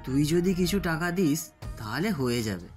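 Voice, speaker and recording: A man's voice: on the high side, lively intonation, normal speed, lightly acted. A man playing an earnest, hardworking business partner in a cartoon story. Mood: eager, friendly. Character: earnest, hardworking male business partner, wronged by his friend. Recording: music under the voice.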